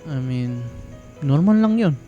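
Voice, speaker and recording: An actor playing a reserved, supportive young student in a radio drama, with a Filipino accent and halting delivery. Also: male voice, fairly high pitch, expressive, muffled recording, faint music behind. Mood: guarded concern. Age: young adult. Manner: hesitant, halting. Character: Liam, reserved young male student, quietly supportive friend. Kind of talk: radio drama acting with English code-switching.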